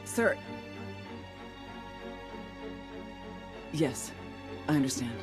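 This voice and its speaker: An actress playing a tough, hot-tempered female federal agent in a video game cutscene, with a clipped, forceful way of talking. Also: deep voice, big pitch swings, moderate pace. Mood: stunned disbelief. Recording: music behind the voice.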